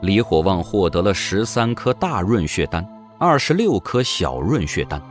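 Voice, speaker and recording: A man's voice: low-pitched, very animated, moderate pace, soft background music. A man reading scripted, dramatic narration for a fantasy audio drama as its narrator.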